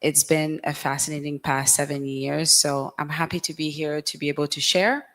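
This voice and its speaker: A woman with a low, deep voice talks into a handheld microphone on a conference stage. She explains things steadily and carefully, with frequent ums. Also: steady tone, moderate pace, a bit bright. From Haiti. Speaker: fintech founder and CEO. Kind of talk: panel conversation on stage.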